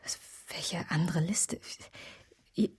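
Hauchige, verzweifelte junge Frau. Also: female voice, fairly low pitch, slow pace, a little mellow, whispered, exaggerated voice. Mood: alarmed, anxious. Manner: breathy, stammering, pleading. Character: desperate, anxious young working woman, pleading daughter. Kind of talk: radio drama acting.

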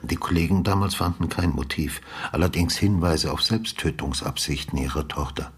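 An actor playing a calm, courteous older retired police detective who questions methodically in a measured way, with a husky, breathy bass, in a radio drama. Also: male voice. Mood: calm probing.

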